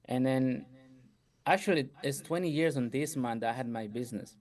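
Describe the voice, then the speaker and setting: A middle-aged man from Ecuador whose speech carries a light Ecuadorian Spanish accent. He tells his story in a loose, conversational way and often drops in "you know" and short laughs. His voice sits at an ordinary middle pitch. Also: normal speed, a little mellow. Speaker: guest entrepreneur. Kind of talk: casual interview storytelling.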